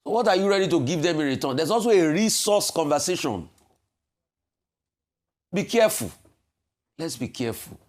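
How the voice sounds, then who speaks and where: A Nigerian man with a fairly high voice argues his case on a broadcast, pressing one rhetorical question after another. He pauses pointedly before hammering his points home. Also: big pitch swings. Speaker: security commentator. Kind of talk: impassioned televised commentary.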